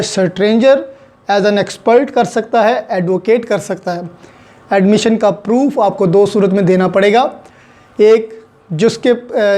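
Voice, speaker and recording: A man's voice: high-pitched, dark tone. A man with a Pakistani accent giving an explanatory law lecture, teaching point by point and mixing in English legal terms. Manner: didactic, code-switches into English legal terms.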